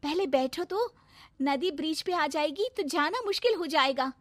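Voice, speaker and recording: Woman playing a high-voiced young daughter-in-law. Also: animated, moderate pace, no echo. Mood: spirited. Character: young village daughter-in-law, dutiful yet spirited. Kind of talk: moral story cartoon voice acting.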